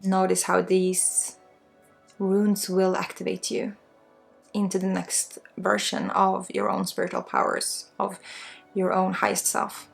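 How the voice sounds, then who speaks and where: A woman with a Swedish accent guides an ASMR energy healing, soft-spoken and reassuring as a spiritual guide. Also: medium pitch, completely flat delivery, slow pace, gravelly. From Sweden. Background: music.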